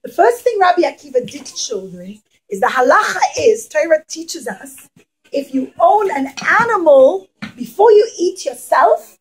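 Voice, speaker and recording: A woman's voice: high-pitched, big pitch swings, measured pace, rough recording. A woman telling a story to young children as an interactive puppet show, speaking emphatically, repeating phrases and putting questions to them.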